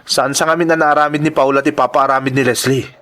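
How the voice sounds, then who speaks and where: A man's voice: medium pitch, steady tone, quick pace, a bit bright, natural voice. A man playing a young male worker who speaks out earnestly and indignantly against unfair treatment. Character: young male migrant worker standing up for coworkers. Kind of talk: audio drama acting.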